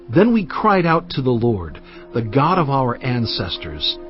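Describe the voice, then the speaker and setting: A man playing a solemn, commanding Hebrew prophet and lawgiver in a dramatized audio Bible, declaiming deliberately to his people. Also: mid-range voice. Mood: solemn, grateful. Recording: dull band-limited audio over music. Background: background music.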